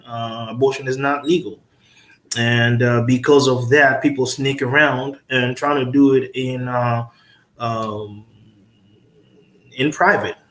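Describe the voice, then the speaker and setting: A man's voice: mid-range voice, steady tone, takes it slowly, long pauses, a bit bright. Man from the Congo in an echoey room. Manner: hesitant, many fillers, emphatic asides. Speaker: guest lawyer. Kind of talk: casual panel discussion. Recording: roomy echo.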